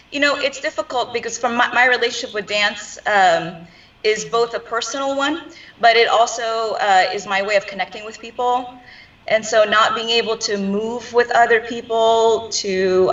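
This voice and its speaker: Bright-voiced woman on a muffled video call. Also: on the high side. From Afghanistan, raised in New York and California. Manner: thoughtful, hesitant, many ums. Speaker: dancer and interview guest. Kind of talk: casual interview answers. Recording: dull, distant video-call audio.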